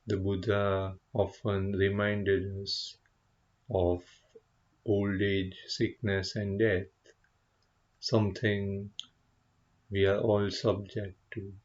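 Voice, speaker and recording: A man leading a guided meditation as a teacher, speaking gently and contemplatively, with unhurried pauses between short phrases. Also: on the low side, little pitch movement, dull audio. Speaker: meditation teacher, Buddhist monk.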